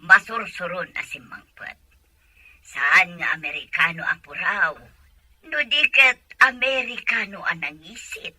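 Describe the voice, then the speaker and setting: A woman's voice: on the low side, unhurried, pushed and bright, husky, no room sound, heavily acted, low-quality recording. A woman playing a fretful, confiding mother in a radio serial. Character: mother fretting over her upset daughter. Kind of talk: Philippine radio serial acting.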